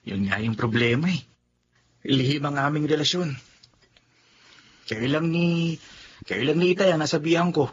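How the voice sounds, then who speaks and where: A man's voice: fairly high pitch, normal speed, long pauses, breathy edge, dull audio. A man playing an earnest young lover. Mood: confiding. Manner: earnest, confiding. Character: young man in a secret love affair. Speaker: tricycle driver. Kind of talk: radio drama acting.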